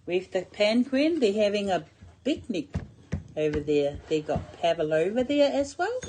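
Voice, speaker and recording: A woman's voice: medium pitch, a little mellow. A middle-aged woman reading a picture book aloud to a young child in simple short phrases, drawing out key words and pointing things out with questions.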